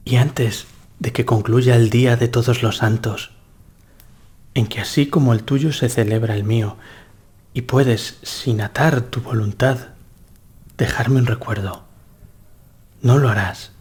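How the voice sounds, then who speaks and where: A man's voice: mid-range voice, fairly even intonation, unhurried, long pauses, a little mellow, breathy edge. A man playing a lovesick young nobleman in a gothic tale, tender and wistful, with a solemn courtly delivery. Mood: gently pleading. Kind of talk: dramatised gothic tale acting.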